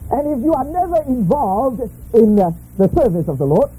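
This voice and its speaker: A middle-aged man preaching a sermon in short, emphatic phrases with touches of humor, pausing for an interpreter after each one. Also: very high-pitched, big pitch swings, mellow, a little hiss or hum, poor audio. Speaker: guest preacher. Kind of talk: sermon delivered through an interpreter.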